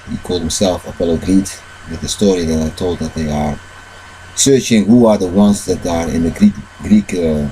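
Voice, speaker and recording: A man telling a long, rambling personal story in halting English, with many fillers and loose grammar. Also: low-pitched, warm tone, a little hiss or hum, poor audio. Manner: retells events with shifts into quoted dialogue.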